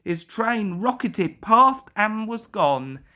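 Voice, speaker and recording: Slow, animated woman reading a children's story. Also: mid-range voice.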